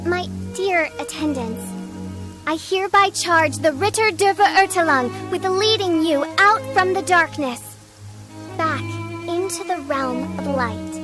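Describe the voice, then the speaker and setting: A woman's voice: very high-pitched, a little slow. A woman voicing a theatrical teenage girl who plays the grandiose noble princess in a video game, with dramatic delivery. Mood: grand, solemn. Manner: pompous, archaic, dramatic phrasing. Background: game music.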